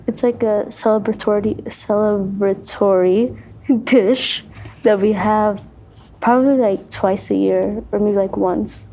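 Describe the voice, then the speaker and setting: A young woman answering on a student panel, unhurried and hedging her answers. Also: medium pitch, steady tone, mellow. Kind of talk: casual panel discussion.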